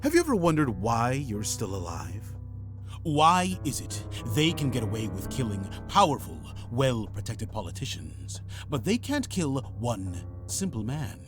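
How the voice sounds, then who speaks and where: An actor playing a grave, mysterious ex-criminal informant and desperate father in an adventure game, speaking cryptically and urgently, with phrases that drop toward a hush. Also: mid-range voice, wildly swooping pitch, unhurried. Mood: intense, dramatic. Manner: cryptic, urgent, dramatic delivery with hushed line endings. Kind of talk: dramatic point-and-click adventure game voice acting. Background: music.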